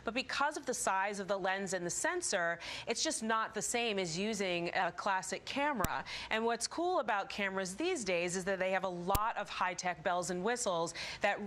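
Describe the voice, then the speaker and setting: A woman's voice: mid-range voice, animated, moderate pace, hardly any pauses, a bit bright, slight background noise. A woman speaking as a lifestyle expert in a TV interview, explaining and promoting with polished enthusiasm.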